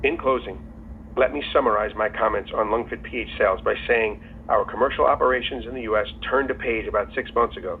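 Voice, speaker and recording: A man in the role of company executive gives a scripted earnings call presentation in a formal, measured way. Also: medium pitch. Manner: formal, measured reading of prepared remarks. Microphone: telephone conference call. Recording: tinny phone line with faint noise.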